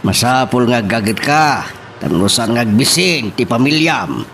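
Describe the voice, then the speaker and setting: A man playing a caring older rural father who chides gently in an Ilocano audio drama. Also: mid-range voice, big pitch swings, a little slow, gravelly, a little hiss or hum, full character voice. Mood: weary, earnest.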